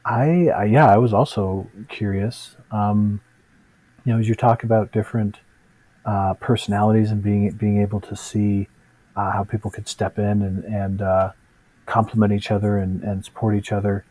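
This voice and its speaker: A man's voice: lowish voice, little pitch movement, normal speed, dark tone, dry recording, poor audio. A man hosting a podcast, asking questions conversationally and affirming his guest thoughtfully. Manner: thoughtful, affirming, frequent "mm" and "yeah". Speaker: podcast host. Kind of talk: conversational interviewing.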